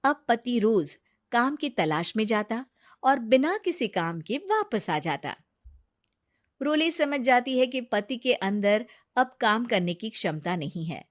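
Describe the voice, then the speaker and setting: A woman reading a scripted moral story as narrator. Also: on the high side.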